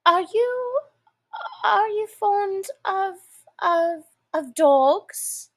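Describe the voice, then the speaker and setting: A polite, chatty little girl from a storybook, voiced by a woman. The voice sits very high with a lilting, animated melody. She is eager and apologetic, rambling on in an unhurried way. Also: full character voice. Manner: apologetic, soothing, dreamy rambling. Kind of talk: storybook character voice.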